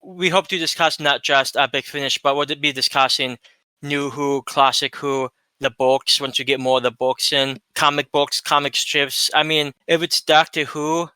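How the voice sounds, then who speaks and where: A man's voice: a little high, steady tone, normal speed, bright, forceful tone, clear, resonant tone, dry recording. A man co-hosting a casual fan discussion show, talking informally. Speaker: co-host of a Doctor Who discussion show.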